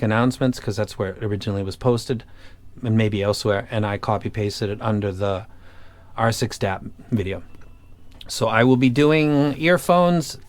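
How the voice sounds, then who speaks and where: A man talking casually and off the cuff as an online audio gear reviewer, blunt, opinionated and colloquial. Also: mid-range voice. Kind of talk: casual unscripted review talk.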